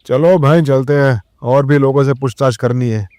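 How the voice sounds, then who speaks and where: Male police inspector played by a man, mid-pitched, formal, probing and authoritative, closely recorded audio drama. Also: normal speed, a little mellow, no echo, clean studio sound, lightly acted. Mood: businesslike.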